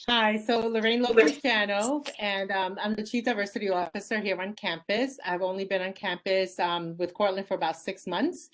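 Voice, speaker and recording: A woman's voice: medium pitch, steady tone, moderate pace, hardly any pauses, rough recording. A woman in her forties from the Bronx, introducing herself informally with many fillers. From the Bronx, New York. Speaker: chief diversity officer. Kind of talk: casual panel introduction.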